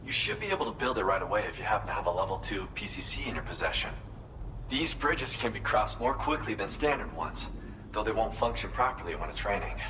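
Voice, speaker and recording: An actor playing a wistful, proud architect in a video game, speaking earnestly and reflectively as he explains his craft. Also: lowish voice. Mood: friendly, grateful. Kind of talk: video game cutscene dialogue. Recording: clear background noise under the voice.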